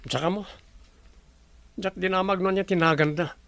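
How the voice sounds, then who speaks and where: A gravelly resort owner. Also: male voice, high voice, fairly even intonation, moderate pace, a bit bright, no room sound. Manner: polite host greeting.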